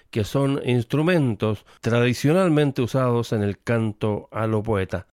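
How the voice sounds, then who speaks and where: A man's voice: medium pitch, a little mellow, no echo. A man introduces the program in a formal, read-aloud manner. He hesitates slightly now and then, and his voice sounds dry and close to the mic. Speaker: program host introducing the episode. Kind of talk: scripted introduction.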